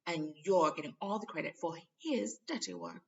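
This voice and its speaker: A man's voice: high voice, animated, normal speed, gravelly, a bit bright, dull audio, heavily acted. A woman voicing a wry, sarcastic, knowing schoolboy in a storybook reading.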